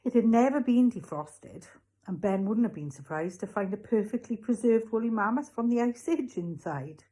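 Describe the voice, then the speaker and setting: A woman narrating a children's storybook as a read-along, in an expressive scripted reading style. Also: fairly high pitch, moderate pace, low-quality recording. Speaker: reader, school read-along.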